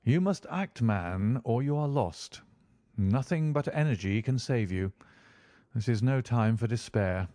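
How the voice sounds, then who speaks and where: A man voicing a commanding, impatient male detective with a crisp, forceful delivery in an audiobook. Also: medium pitch, animated, unhurried, no room sound, studio quality, close to an everyday voice. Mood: urgent. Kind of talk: audiobook character reading.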